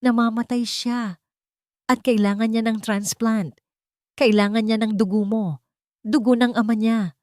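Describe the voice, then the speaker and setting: A woman's voice: a little high, steady tone, unhurried, long pauses, a little mellow, no room sound, studio quality, pitched above the performer's own voice, close to an everyday voice. A woman voicing a desperate young mother who pleads through tears, her voice raised and breaking. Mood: pleading.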